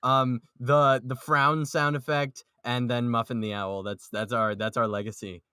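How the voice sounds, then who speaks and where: A man's voice: mid-range voice, little pitch movement, measured pace, sharp tone, smooth, no room sound, studio quality. A man hosting a podcast in casual group chat, rambling, joking and ready to argue. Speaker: podcast host. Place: close voice chat recording.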